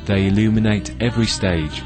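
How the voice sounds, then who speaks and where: Deep-voiced guy narrating a promo documentary, reading a script, music playing behind him. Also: a little fast.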